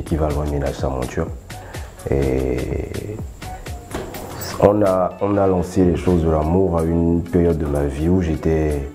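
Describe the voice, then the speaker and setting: A male singer with a Beninese French accent talks in a relaxed, easygoing way as an interview guest. His voice is extremely low. Music plays clearly in the background. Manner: relaxed, laughs easily, mixes in English. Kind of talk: casual interview.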